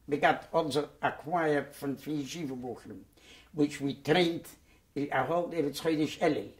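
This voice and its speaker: A man, giving oral history testimony in a halting way, with many repeated words and restarts. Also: a little high. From Poland. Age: 90s. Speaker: Holocaust survivor giving testimony. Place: dark studio set, indoors.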